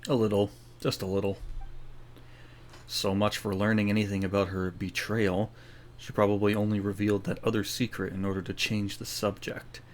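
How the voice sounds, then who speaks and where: A man's voice: lowish voice, level tone, normal speed, a little hiss or hum. A man giving casual playthrough commentary as a gameplay streamer, with wry muttered asides.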